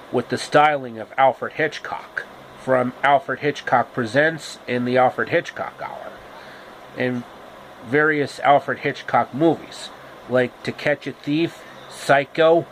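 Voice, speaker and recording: Slow, halting male storyteller. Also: medium pitch, slight background noise, poor audio. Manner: halting, deliberate phrasing. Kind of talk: informal, improvised storytelling with pop-culture asides.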